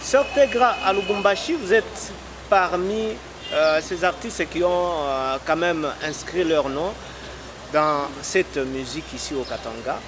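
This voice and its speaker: A male talk show host speaks in a fairly high voice. He greets viewers and asks questions with enthusiasm, stretching out his hesitations. The recording carries a clear layer of noise. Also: measured pace. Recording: noisy broadcast recording.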